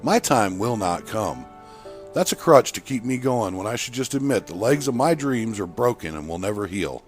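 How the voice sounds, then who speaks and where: Bitter, defeated low-voiced man, acted by a narrator. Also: expressive, normal speed, soft background music, natural voice. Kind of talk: scripted story dialogue.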